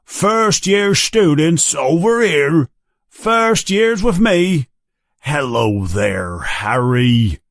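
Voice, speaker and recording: A man's voice: fairly high pitch, very animated, drawn-out words, dry recording, studio quality, pitched above the performer's own voice, full character voice. A man voicing a big, hairy, friendly groundskeeper in an audiobook, calling out heartily. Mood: hearty.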